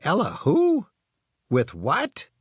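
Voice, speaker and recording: A man's voice: a little high, huge pitch swings, slow, slightly rough, no room sound, clean studio sound, voice pushed up, exaggerated voice. Man playing a skeptical, baffled young boy. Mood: puzzled. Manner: blunt, puzzled questioning. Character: skeptical, easily baffled boy, the inventor's pal.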